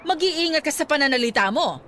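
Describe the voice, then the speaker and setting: Snooty rich older mistress, high sharp voice, slow and offended, radio drama. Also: female voice, lively intonation, a little hiss or hum. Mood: haughty, offended. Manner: haughty, mixes English into her talk. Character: haughty wealthy older mistress, easily outraged.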